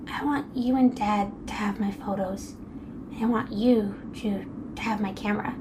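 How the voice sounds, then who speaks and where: A woman playing an anxious, grieving young girl in an audio drama, speaking in a halting, emotional way. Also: mid-range voice, steady tone, lightly acted. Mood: sorrowful. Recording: clearly noisy, ambient sound behind.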